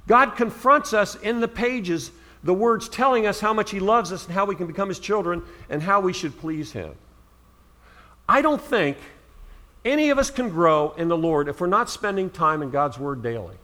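Middle-aged pastor guy from ohio preaching, high bright voice, earnest, punches key words. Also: expressive, normal speed. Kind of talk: sermon.

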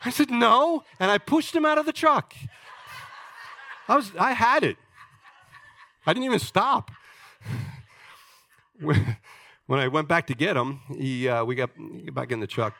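A man in his sixties preaching a sermon as pastor, emphatic and jokey, swinging between loud points and quiet asides. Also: high voice, expressive, a little slow, long pauses, a little hiss or hum. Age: 60s.

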